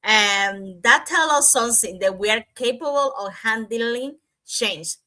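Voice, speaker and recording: Woman panelist from Cuba, bright and higher voice, loose rambling delivery. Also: measured pace. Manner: rambling, restarts, filler-heavy. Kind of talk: casual chat.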